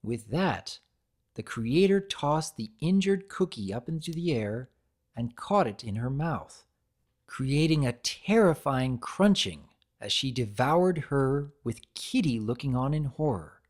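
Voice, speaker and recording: A narrator giving an expressive, deliberate dramatic reading of a story. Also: mid-range voice.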